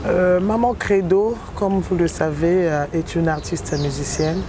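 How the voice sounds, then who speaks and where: A woman in her forties with a Congolese French accent, a musician answering interview questions in a measured, hesitant way, pausing often between short phrases. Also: on the low side, fairly even intonation. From Republic of the Congo. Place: outdoors in a city. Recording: noisy outdoor street with birds and traffic. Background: birds and passing vehicles.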